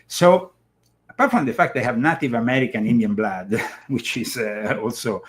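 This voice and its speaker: A man with an Italian accent hosts a political talk show, his voice sitting at a middling pitch. He talks emphatically, yet keeps stalling on fillers and starting phrases over. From Italy. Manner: emphatic, halting with many fillers and restarts. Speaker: show host.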